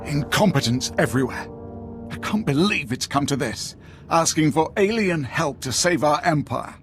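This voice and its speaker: A man's voice: fairly high pitch, expressive, normal speed, music in the background, lightly acted. Stern, clipped Imperial commander played by a man. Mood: stern, briefing. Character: stern, exasperated male Imperial military commander. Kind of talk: dramatic video game acting.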